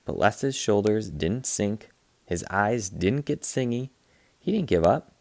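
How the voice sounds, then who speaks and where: A man reading a children's picture book aloud like a teacher, in an expressive, playful way. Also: lowish voice, slow, creaky, no room sound. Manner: expressive, playful, acts out sound effects.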